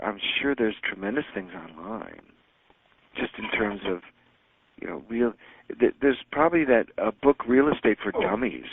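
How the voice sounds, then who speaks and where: Guy rambling on a call, lots of ums, gravelly voice, tinny phone sound. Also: on the low side, no room sound. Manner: rambling, halting with many fillers. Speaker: teleconference host answering callers. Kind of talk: casual phone chat. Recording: phone-line audio.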